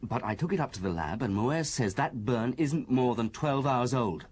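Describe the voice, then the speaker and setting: An actor playing a dutiful young police inspector who reports to his chief in a brisk, deferential way. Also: mid-range voice, lively intonation, darkish tone, breathy edge. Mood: businesslike. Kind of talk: classic radio drama acting.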